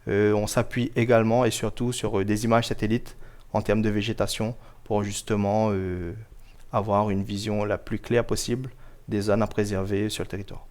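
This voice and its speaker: A man giving explanatory answers as an interview guest, measured and formal, pausing often to choose his words carefully. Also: on the low side, level tone, darkish tone. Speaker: guest, local government project officer.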